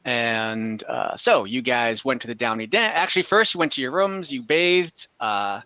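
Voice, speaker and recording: Guy running a tabletop game, kinda hesitant and chatty, forward bright voice, muffled roomy audio. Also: mid-range voice, moderate pace, smooth. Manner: conversational, hesitant, self-correcting. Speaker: game master. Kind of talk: recapping and narrating a tabletop game, casual. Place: indoor room, online game session.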